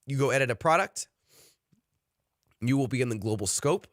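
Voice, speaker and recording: A man's voice: medium pitch, animated, moderate pace, a bit bright, no echo, studio quality. A man leading an online study session, earnest and encouraging, with frequent fillers. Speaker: study group leader.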